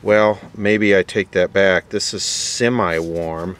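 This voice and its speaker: A man giving casual, off-the-cuff narration of a repair job, halting as he thinks aloud. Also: deep voice, steady tone, a bit bright, a little hiss or hum. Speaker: host, vintage radio repairer. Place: beside an old console radio being worked on.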